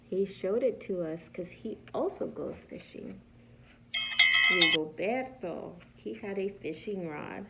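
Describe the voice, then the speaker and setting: A woman reads a picture book to small children like a patient preschool teacher, stopping to ask them simple questions. Her delivery is slow, with a creaky edge to the voice. The recording is a slightly muffled, lo-fi home one. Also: on the low side, heavy background noise. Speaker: preschool teacher reading aloud. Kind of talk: picture-book read-aloud for young children.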